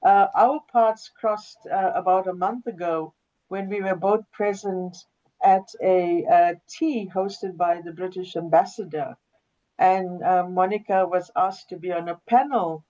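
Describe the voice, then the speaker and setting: A woman hosting a webinar, introducing her guest in a measured way with many pauses, over a video call. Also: lowish voice, dark tone, lo-fi sound. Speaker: host.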